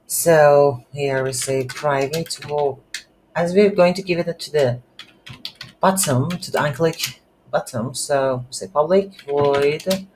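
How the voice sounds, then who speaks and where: Slow, halting coding tutor with background noise. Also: medium pitch, darkish tone. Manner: halting, thinking aloud while typing. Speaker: game development tutorial instructor. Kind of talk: unscripted coding tutorial narration. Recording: noisy room with keyboard typing.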